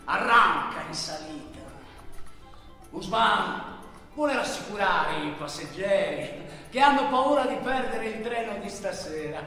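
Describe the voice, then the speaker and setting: A man's voice: high voice, animated, a little slow, bright, forceful tone, soft background music. A man narrating a theatrical travel monologue on stage, delivered phrase by phrase. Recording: very echoey hall, far from mic.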